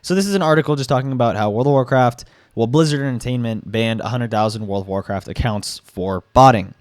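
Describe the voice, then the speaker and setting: A man's voice: mid-range voice, fast talker, no echo, studio quality. A young man who has been sick and sounds stuffed up, giving casual gaming commentary with rambling fillers and restarts. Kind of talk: casual commentary with article reading.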